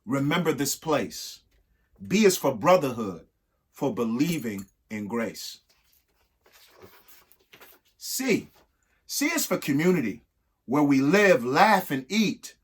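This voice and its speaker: A husky-voiced man reading a rhyming picture book aloud expressively, emphatic, with pauses between short phrases. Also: mid-range voice, very animated. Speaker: reader of a children's picture book. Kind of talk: expressive read-aloud of a rhyming alphabet book with spoken asides.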